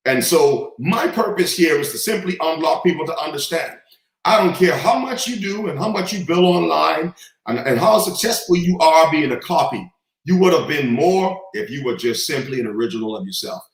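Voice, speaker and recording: A man's voice: on the high side, expressive, normal speed. Confident man from the Bahamas, echoey. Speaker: guest. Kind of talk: storytelling in a conversation.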